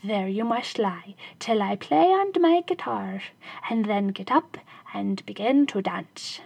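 A clever little shoemaker, sly and pleading by turns, as a woman reading a fairy tale puts him on. His voice is gravelly and husky, close and dry. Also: mid-range voice, lively intonation, slow, darkish tone, no echo, heavily acted. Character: crafty little male shoemaker, clever and spirited.

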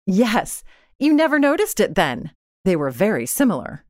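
A woman's voice: mid-range voice, huge pitch swings, moderate pace, dry recording, studio quality. A woman voicing a careworn, proud, plain-spoken working-class wife in a storybook reading, earnest and emphatic. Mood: proud, relieved.

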